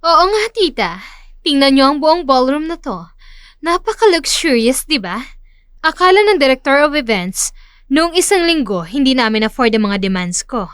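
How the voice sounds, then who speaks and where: A woman's voice: sits high, a bit bright, dry recording, studio quality. A woman playing a boastful, mean rich elder sister in an audio drama, showy and sneering, mixing English into her lines.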